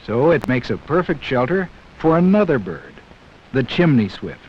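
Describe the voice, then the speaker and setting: A man narrating a scripted 1960s educational film in a measured, explanatory way, speaking directly to young viewers. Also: mid-range voice, sing-song. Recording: dull, hissy 1960s 16mm film soundtrack.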